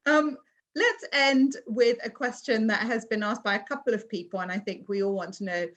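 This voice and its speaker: A woman's voice: on the high side, fairly even intonation, moderate pace, fairly bright tone. A woman from England with a British accent, hosting a virtual interview and reading out audience questions over a video call. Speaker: interview host.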